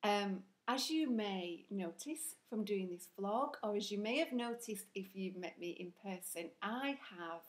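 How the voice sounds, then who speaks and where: A woman's voice: medium pitch, animated, unhurried, hardly any pauses, slight background noise. A woman from Northern England with a broad Northern English accent, talking earnestly to camera in a chatty vlog with frequent ums. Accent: broad Northern English, Rochdale and South Yorkshire mix. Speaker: vlogger. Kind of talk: casual talk to camera.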